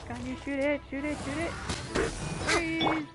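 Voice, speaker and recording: A woman with a fairly high voice hosts a gaming video. She talks slowly in casual, offhand fragments, with very loud game music behind her. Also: steady tone. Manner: offhand, short fragmented remarks. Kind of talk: casual gameplay commentary. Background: video game music and effects.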